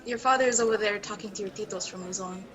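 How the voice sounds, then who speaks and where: A woman playing a grieving middle-aged mother in an amateur online drama, speaking in a measured, soft way. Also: medium pitch, a crowd in the background, natural voice. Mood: gentle.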